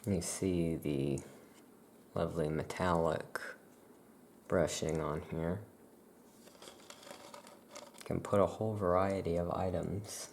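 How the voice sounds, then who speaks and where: Very slow, low, creaky soft-spoken ASMR voice. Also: steady tone, a little hiss or hum. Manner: unhurried, explanatory, soft-spoken. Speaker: ASMR performer as witchcraft shopkeeper.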